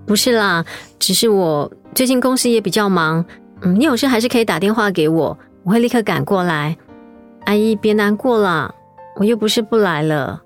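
An actress playing a caring young mother in a radio drama, with a gentle, reassuring way of talking. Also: mid-range voice, lively intonation, normal speed, faint music behind, natural voice.

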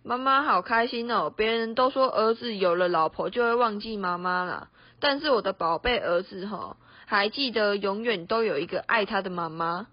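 A woman's voice: medium pitch, normal speed, few pauses, no room sound, close to an everyday voice. A teenage girl playing a doting, self-pitying middle-aged mother with exaggerated delivery in an amateur radio drama. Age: teens. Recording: dry, muffled lo-fi recording.

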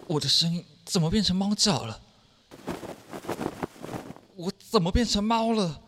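A man plays a young man stuck in a cat's body in a radio play, indignant and bewildered. His voice is fairly high and swings widely in pitch. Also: animated, unhurried, background noise. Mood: puzzled. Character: grumpy young man turned into a cat.

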